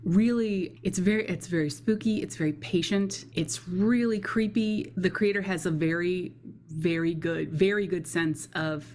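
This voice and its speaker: A woman's voice: fairly low pitch, unhurried, a little mellow. A woman hosting an online video, chatting casually and earnestly about her opinions, often repeating and rephrasing herself. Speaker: YouTube host reviewing horror videos. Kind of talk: casual opinionated chat commentary.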